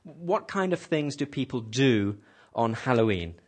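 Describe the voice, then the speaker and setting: A man's voice: mid-range voice, big pitch swings, measured pace. A man hosting a radio English lesson, patiently asking a class questions and repeating their answers back to them. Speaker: host leading an English class.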